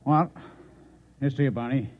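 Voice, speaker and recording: A man's voice: mid-range voice, fairly even intonation, normal speed, natural voice. An actor playing a cagey, wry man drinking at a bar in a 1950s radio drama, on an old muffled recording.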